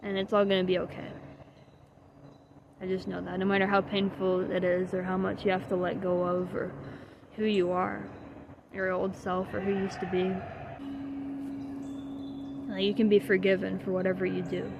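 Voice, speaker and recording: A young woman speaks in a candid, heartfelt monologue, her voice gravelly with fry and her pitch held fairly flat. She swears casually and drifts between thoughts. There is steady noise behind her, with vehicles passing. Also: moderate pace, pauses often, dull audio. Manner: earnest and heartfelt, swearing, trailing off with soft laughs. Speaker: vlogger.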